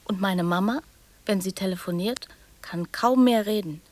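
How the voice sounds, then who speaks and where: A woman's voice: mid-range voice, moderate pace, close to an everyday voice. An actress playing a young girl in a radio drama, prattling and teasing in childlike fragments that often drop to whispers. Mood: defiant, prattling.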